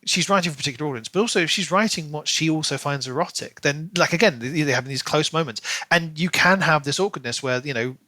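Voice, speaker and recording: A man's voice: fairly high pitch, fast, hardly any pauses, bright, forceful tone, no room sound. A man co-hosting a podcast, chatting casually about books and repeating words emphatically as he builds his point. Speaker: podcast co-host. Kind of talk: casual book discussion.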